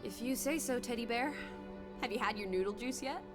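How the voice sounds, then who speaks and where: A woman's voice: fairly high pitch, a little fast, lightly acted. An actress playing a playful young 1920s American woman in a video game, teasing in jaunty old-fashioned slang. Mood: cheeky. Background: music.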